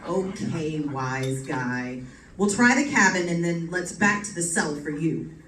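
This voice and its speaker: A woman playing a gruff, sarcastic, stubborn male homicide detective in old-time radio drama, with slangy tough-cop talk. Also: low voice, measured pace, a bit bright. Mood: impatient. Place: live stage performance, mic at a distance. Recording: distant mic in echoey, noisy room.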